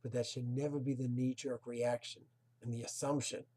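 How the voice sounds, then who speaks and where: Husky mellow-voiced rabbi lecturing. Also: male voice, medium pitch, level tone, normal speed. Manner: earnest, moralizing, emphatic rhetorical questions; sprinkles Hebrew and Yiddish terms. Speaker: rabbi teaching a Torah class. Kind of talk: recorded religious lecture.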